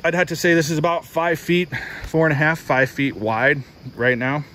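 A man's voice: on the high side, normal speed, a bit bright, a little hiss or hum. An American man in his thirties giving a casual video update to viewers, recorded outdoors on a handheld phone. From United States, Ohio. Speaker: outdoors vlogger. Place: outdoors in woods. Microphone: phone held at arm's length.

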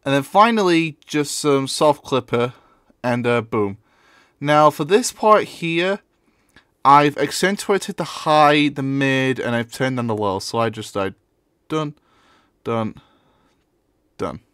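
A man talks through a software tutorial in a casual, offhand manner. His voice is recorded dry and close, with hardly any room around it. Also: medium pitch, slow, long pauses, clear, resonant tone, dry recording. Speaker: tutorial presenter.

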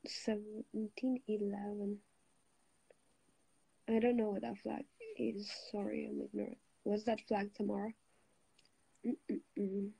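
A woman's voice: mid-range voice, takes it slowly, creaky, pauses often, husky, no echo, low-quality recording. A young woman from Switzerland, first language Italian, chatting casually with viewers on a phone livestream while lying on a bed. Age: young adult. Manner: greets viewers, switches languages, relaxed and chatty. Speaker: livestream host. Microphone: phone held at arm's length.

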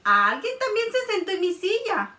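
A woman's voice: very high-pitched, sing-song, moderate pace, pushed and bright, voice pushed up, heavily acted. A woman voicing a small boy bear cub, whiny and close to tears. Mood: upset. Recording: roomy sound from a distance.

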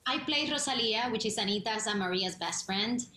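A woman in her thirties from Puerto Rico, an actress talking excitedly about her film on a video call from home. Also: fairly high pitch, moderate pace. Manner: effusive, gushing. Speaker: actress and singer guest. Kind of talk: remote TV interview. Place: home room, indoors. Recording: echoey room, faint hum.

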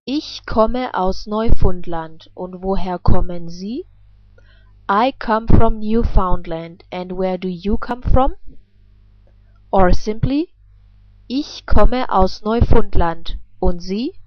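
Very slow-speaking woman teaching phrases. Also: medium pitch, no room sound, lo-fi sound. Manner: careful, deliberate enunciation. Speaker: language teacher. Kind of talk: scripted language lesson, example phrases with translations.

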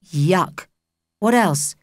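A woman's voice: lowish voice, expressive, drawn-out words, dry recording, clean studio sound, full character voice. A British woman voicing a cocky, sardonic young boy with a blunt, offhand manner in a children's audiobook.

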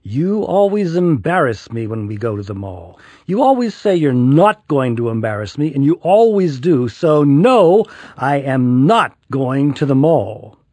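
A man's voice: mid-range voice, big pitch swings, unhurried, hardly any pauses, warm tone, no echo. A man voicing an embarrassed young boy in a storybook, whiny, strained and exaggerated in his protests. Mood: defiant protest.

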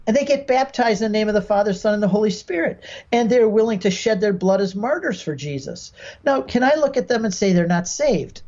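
Emphatic older American man, high-pitched voice, dull audio. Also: darkish tone. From Michigan, United States. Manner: emphatic, acts out quoted speech. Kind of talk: explaining with stories and examples. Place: home room with bookshelves, video call.

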